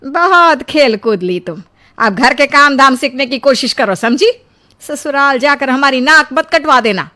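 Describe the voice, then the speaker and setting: A woman's voice: high-pitched, lively intonation, measured pace, pushed and bright, full character voice. A woman voicing an insistent, nagging middle-aged mother in a Hindi cartoon story. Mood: scolding. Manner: scolding, insistent.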